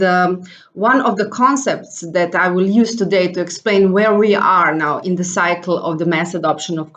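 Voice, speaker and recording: Slovenian-accented woman, conference speaker, lower voice, hesitant with fillers, muffled audio. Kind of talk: presentation talk.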